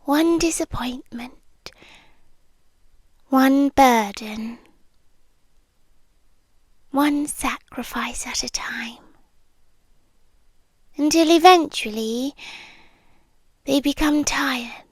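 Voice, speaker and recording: A British woman playing an ancient, possessive, obsessively devoted immortal lover in an intimate ASMR roleplay, speaking in a hushed, breathy, deliberate way that often slips into whispers. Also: high-pitched, no echo. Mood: softly menacing devotion. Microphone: close microphone, dry.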